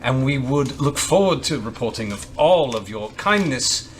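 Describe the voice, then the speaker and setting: A man's voice: medium pitch, lively intonation, moderate pace. A man playing a tabletop game, mixing wry, flowery role-play with casual banter. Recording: faint background noise and ambience.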